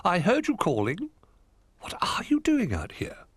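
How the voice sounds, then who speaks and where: A man voicing a kindly female owl who speaks gently, like a caring mother. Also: high voice, huge pitch swings, a little slow, slightly rough, dry recording, pitched above the performer's own voice, exaggerated voice.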